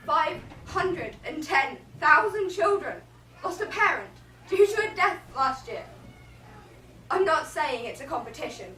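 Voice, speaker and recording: Woman as a high, bright solemn narrator, echoey. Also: heavily acted. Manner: rhetorical, addressing the audience directly. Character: solemn female narrator introducing a drama. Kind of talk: scripted stage monologue. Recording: echoey room, distant, faint noise.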